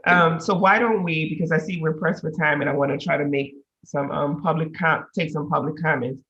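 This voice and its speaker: Gravelly woman chairing a meeting. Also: sits low, steady tone, a bit bright, highs cut off. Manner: brisk, procedural, frequent "um". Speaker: council chair.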